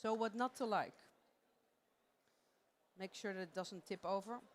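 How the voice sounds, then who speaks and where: A woman's voice: medium pitch, unhurried. A woman from the Netherlands with a Dutch accent, giving a playful, chatty live conference talk on stage and laughing often. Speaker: conference presenter.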